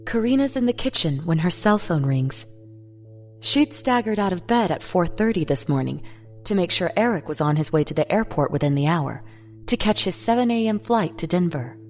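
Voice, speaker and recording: Low-voiced woman narrating a dark story. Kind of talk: scripted dramatic narration of horror fiction. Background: music.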